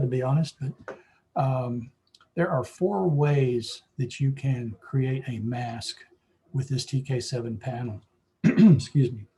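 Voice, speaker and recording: Hoarse guy walking a photo club through editing software, dark mellow voice, lots of ums. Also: mid-range voice. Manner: relaxed, explanatory, with frequent fillers. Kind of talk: screen-share software tutorial.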